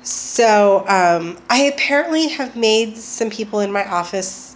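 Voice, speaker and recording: A woman's voice: mid-range voice, lively intonation, measured pace, slight background noise, poor audio. A woman vlogging at home, chatty and hesitant with lots of ums, getting over a cold. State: recovering from a cold. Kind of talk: casual personal video diary.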